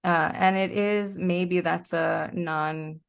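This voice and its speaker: A woman's voice: fairly low pitch, steady tone, slow, creaky, clear, resonant tone. A woman being interviewed as a guest, explaining things in a casual, reflective way. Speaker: interview guest, performing artist.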